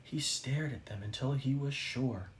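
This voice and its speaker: A man reading a children's story aloud expressively, with dramatic pauses for effect. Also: medium pitch, slight background noise. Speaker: storybook reader.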